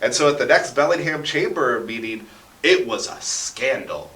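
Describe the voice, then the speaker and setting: A man's voice: mid-range voice, lively intonation, moderate pace, fairly bright tone, far from the mic. A man talking as an interview guest in a conversational storytelling style, emphatic and often checking in with the listener. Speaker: interview guest, radio drama producer and local history enthusiast. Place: radio studio. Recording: roomy, echoing, away from the mic.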